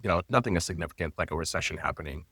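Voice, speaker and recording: Male guest, late 30s, native Haitian Creole speaker. Very low pitch, dry sound, expressive and conversational. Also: rapid-fire, slightly rough, no room sound. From Florida, United States. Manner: expressive, personal, loose phrasing with frequent fillers. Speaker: guest, intimacy coordinator and teacher. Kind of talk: conversational interview storytelling.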